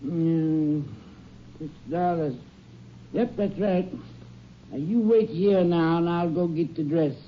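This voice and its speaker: A man's voice: a little high, slow, pauses often, background noise. An actor playing a nervous, eager-to-please male small-town store owner who hesitates a lot, in a 1950s radio drama. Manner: hesitant, with many uhs. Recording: dull old radio recording.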